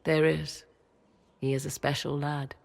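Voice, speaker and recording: An actress playing an aloof, mysterious sorceress and protective mother in a video game, speaking in measured, archaic, theatrical phrases. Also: low-pitched, little pitch movement, full character voice. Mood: brooding, solemn. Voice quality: husky, creaky. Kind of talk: dramatic video game dialogue.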